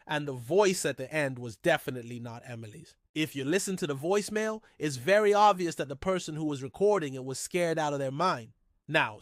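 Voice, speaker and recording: A man's voice: a little high, fairly bright tone, no echo, clean studio sound. A man hosting a mystery storytelling show in a conversational, informal and musing way, often posing rhetorical questions. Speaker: host of a mystery storytelling channel.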